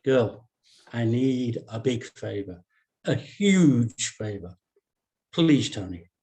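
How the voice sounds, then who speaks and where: Man reading a slow, coaxing young woman. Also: medium pitch, animated, warm tone. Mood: pleading. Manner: slangy, coaxing. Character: pleading, streetwise young woman coaxing her friend. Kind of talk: screenplay table read.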